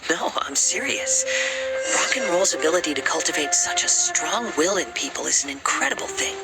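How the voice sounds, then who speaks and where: Game role: sincere, formal female companion, lively intonation under a measured delivery, prominent music. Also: sits low. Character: composed, sincere companion with growing taste for rock. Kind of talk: video game voice acting.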